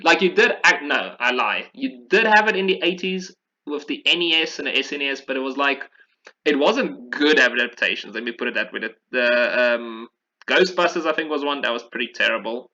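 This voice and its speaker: A man's voice: mid-range voice, animated, brisk, sharp tone, lo-fi sound. A man chatting casually and enthusiastically about video games in an informal way, as a podcast host.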